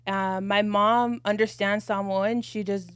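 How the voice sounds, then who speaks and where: A woman co-hosting a podcast, telling her family story in a reflective, measured way with casual fillers. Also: mid-range voice, fairly even intonation, a bit bright, clear, resonant tone, no echo. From United States. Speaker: podcast co-host.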